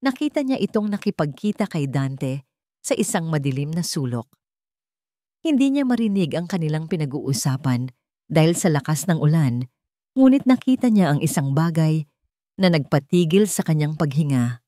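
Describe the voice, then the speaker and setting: A woman with a Filipino accent reading a scripted dramatic story in a measured, storytelling manner. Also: sits low, very animated, mellow, no room sound, studio quality. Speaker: storyteller, narrator.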